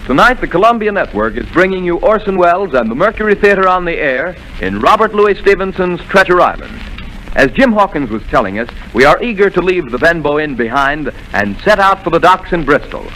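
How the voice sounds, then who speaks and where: A man making formal announcements on a vintage radio network broadcast. His voice is fairly high and strongly inflected, with a faint hiss of background noise. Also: sing-song, a little fast, bright, forceful tone, poor audio.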